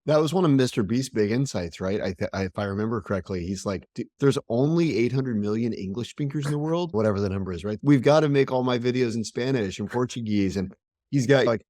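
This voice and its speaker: A man's voice: mid-range voice, fast, warm tone, dry recording. A man co-hosting a podcast interview, who asks questions in a casual way and steers the talk. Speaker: podcast co-host. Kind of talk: conversational interview.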